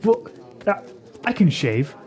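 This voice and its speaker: A man's voice: sits high, sing-song, takes it slowly, a little mellow, full character voice. A man playing a boastful, lovesick young would-be warrior in a fantasy radio drama, emphatic and eager. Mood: frustrated.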